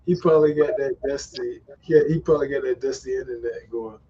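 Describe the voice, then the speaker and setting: A man's voice: mid-range voice, brisk, mellow, muffled recording, slight background noise. A man hosting a casual online voice chat, slangy and loose, swearing often and laughing a lot. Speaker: host. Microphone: online call mic.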